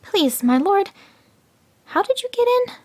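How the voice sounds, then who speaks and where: A woman voicing a cunning male jackal who pretends to be slow-witted, with fluttery, flustered pleading. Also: very high-pitched, very animated, voice pushed up, exaggerated voice. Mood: feigned confusion.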